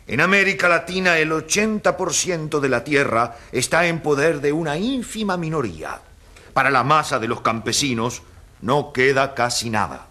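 An actor playing an earnest, emphatic professor who argues didactically in an oratorical radio debate. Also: male voice, a little high, animated, moderate pace, sharp tone. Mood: earnest, challenging. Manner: didactic, rhetorical questions. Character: earnest, emphatic male professor arguing for landless peasants.

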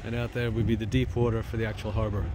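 A man giving impromptu walking-tour commentary outdoors in short, halting, matter-of-fact phrases. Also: on the low side, flat delivery. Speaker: travel vlogger. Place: outdoors at open seaside ruins. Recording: outdoor phone recording with ambient noise.

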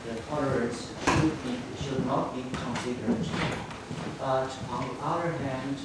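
A man with a Chinese accent, a non-native speaker, making a halting comment from the audience, phrase by phrase. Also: medium pitch, steady tone. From China. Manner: hesitant, word-by-word phrasing. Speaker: audience member at conference. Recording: very echoey room, distant mic, loud noise.

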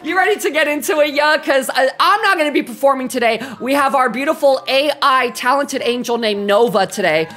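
Male streamer, twenties, high voice, hyped and campy, effusive casual chat over light music. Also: animated, brisk, few pauses, sharp tone. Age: 20s. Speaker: livestreamer. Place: small bedroom streaming setup, indoors.

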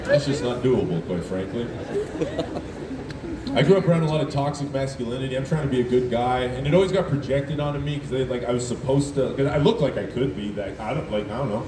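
A deep-voiced man in his thirties works the crowd in a deadpan, self-mocking stand-up set. His voice booms through festival speakers and reaches the phone from far back, with echo and a loud, laughing crowd around it. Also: quick pace, noisy background. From Canada (Alberta). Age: 30s. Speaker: stand-up comedian. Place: outdoor festival stage in a city park at night. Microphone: PA speakers recorded by a phone in the crowd. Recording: echoey amplified sound from far off. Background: laughing, cheering crowd.

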